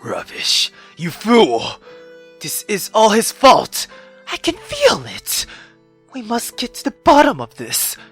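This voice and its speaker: A man voicing a scornful, accusing mother in a loud, imperious manner for a visual novel. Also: high-pitched, huge pitch swings, takes it slowly, breathy edge, soft background music, voice pushed up, heavily acted. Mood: furious, scornful.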